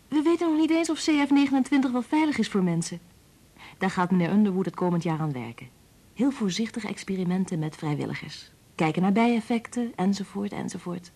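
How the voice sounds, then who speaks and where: An actress playing a kind, patient woman scientist and protective mother in a Dutch radio play, explaining things in a measured way. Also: mid-range voice, warm tone, breathy edge.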